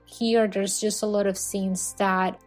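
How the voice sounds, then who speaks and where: Flat-toned woman from the Dominican Republic. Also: mid-range voice, takes it slowly, soft background music. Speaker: guest architect. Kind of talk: recorded personal account.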